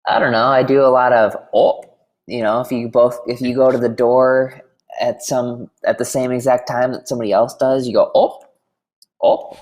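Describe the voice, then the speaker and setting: A man from Wisconsin, a pro player, guesting on a podcast, relaxed and self-deprecating with frequent laughs. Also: medium pitch, normal speed, poor audio. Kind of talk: casual podcast interview.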